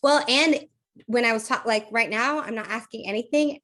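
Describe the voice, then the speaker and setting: Woman, podcast co-host, casual and talkative, laughs a lot, slight croak. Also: on the high side, moderate pace, fairly bright tone. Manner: chatty, laughs often, thinks aloud with fillers. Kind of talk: casual chat.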